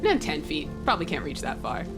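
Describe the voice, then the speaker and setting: A woman narrating a tabletop roleplaying game as a player, describing things in a thoughtful, measured way. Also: low-pitched, wildly swooping pitch, music in the background. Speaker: player running a creature.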